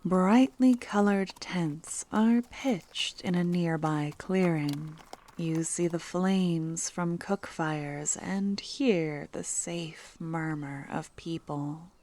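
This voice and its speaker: A woman narrates an ASMR story in a hushed voice that slips into whispers. She speaks very slowly in a warm, mellow tone that sits a little low. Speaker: ASMR roleplay creator and narrator.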